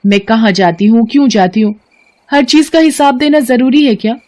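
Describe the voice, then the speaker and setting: A woman's voice: a little high, normal speed, a little mellow, pitched above the performer's own voice, natural voice. A woman voicing a brazen, secretive young female roommate in a story, snappy and dismissive. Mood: irritated. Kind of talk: dramatized story dialogue.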